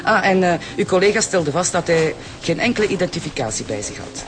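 An actor playing a formal, halting hospital doctor in a radio drama, with a Flemish accent. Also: male voice, high-pitched, sing-song, music in the background. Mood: measured, hesitant. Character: hesitant, protesting male hospital doctor.

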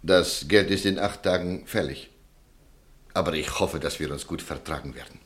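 A smooth, quietly persuasive extortioner in a radio play; very low voice. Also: male voice. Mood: coaxing. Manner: soft-spoken, coaxing, measured. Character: smooth, calm male extortioner named Walter. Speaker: radio drama character.

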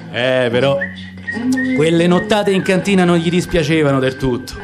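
A man reading a novel aloud for radio theatre, telling it expressively with lively shifts in pace. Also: sits high. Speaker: narrator. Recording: music underneath the narration.

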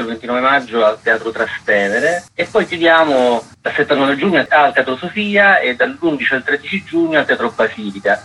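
A man's voice: mid-range voice, bright, forceful tone, rough recording. A man, a festival artistic director, giving explanatory answers in a radio interview.

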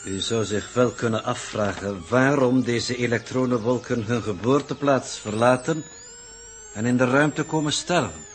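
Male actor as cool space coordinator, medium pitch, stilted formal phrasing, cryptic, light hiss, 1960s radio play. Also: moderate pace, natural voice. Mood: cryptic, detached. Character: formal, enigmatic male mission coordinator, coolly detached. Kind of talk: 1960s Dutch radio drama acting. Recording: old noisy 1960s radio recording.

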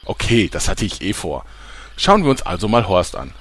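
A man acts out a self-important, slightly sleazy reporter in a parody radio play. The voice sits low and moves animatedly, announcing everything like a scandal and easily getting flustered. Some noisy ambience runs behind it. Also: big pitch swings, normal speed, a bit bright, heavily acted. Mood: sensational, dramatic. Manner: dramatic announcer delivery with embarrassed stammers. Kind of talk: mock investigative report in an audio parody.

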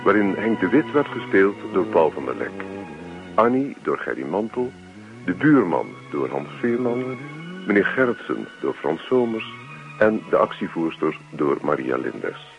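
Low, creaky-voiced male radio announcer. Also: big pitch swings, measured pace. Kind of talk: scripted closing credits. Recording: dull old radio recording, music behind. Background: music.

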